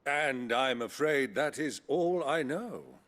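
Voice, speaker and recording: An actor playing a jovial middle-aged noble uncle in a fantasy game, with a flowery, genteel, wry manner. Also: male voice, mid-range voice, animated, takes it slowly, fairly bright tone. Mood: genial, courteous. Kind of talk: theatrical fantasy game dialogue.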